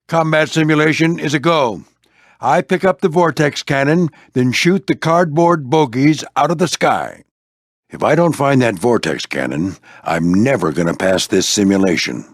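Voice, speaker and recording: An old veteran warplane for an animated video game. He is a gruff but fatherly squadron mentor whose pitch moves up and down freely. His talk is folksy with clipped military turns, and the recording is dry and close. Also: no echo. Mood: brisk, military. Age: older.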